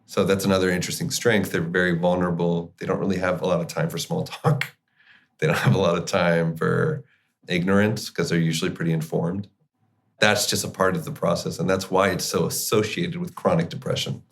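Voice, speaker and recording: A man with a very low voice and plenty of fry, talking as an expert guest in an interview. His delivery is conversational and informal, with casual slang mixed into professional explanation. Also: level tone, fairly quick. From Chile and the United States. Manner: informal, uses slang like kiddo and buddy. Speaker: clinical psychologist, podcast guest.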